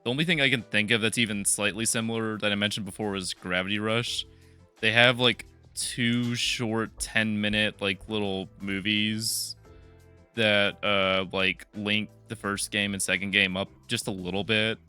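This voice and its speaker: A low-pitched man with a bright, forceful tone, chatting casually on a podcast with dry, sarcastic asides. Faint music sits in the background. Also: fairly even intonation, moderate pace, clear, resonant tone. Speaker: podcast co-host.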